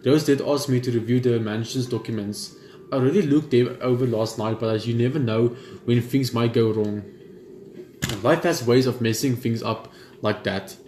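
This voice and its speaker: A young man hosting a gaming video, reading game text aloud haltingly with casual commentary. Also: medium pitch, fairly even intonation, a little mellow. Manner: halting when reading, stumbles over words. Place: indoor room at home. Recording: roomy echo, noticeable background noise.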